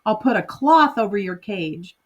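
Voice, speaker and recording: A woman voicing a calm, patient mother in a children's story, measured and gentle. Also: medium pitch, natural voice.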